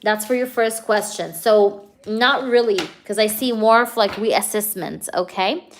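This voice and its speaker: A woman doing a live tarot reading for a viewer. She talks in a chatty, energetic way, full of "you know" and "okay", and keeps checking whether things make sense to the viewer. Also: medium pitch, a little slow, slight background noise. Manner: addresses the viewer directly, asks if things resonate. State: hyper, energetic. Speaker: tarot reader.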